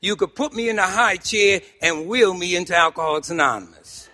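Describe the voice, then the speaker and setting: Man, New York accent, high bright voice, animated personal testimony, humorous storytelling, emphatic pauses, long hall reverb. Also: slight background noise. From Harlem, New York City, United States. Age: middle-aged or older. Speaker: speaker at a recovery meeting. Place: meeting hall, speaking to a group.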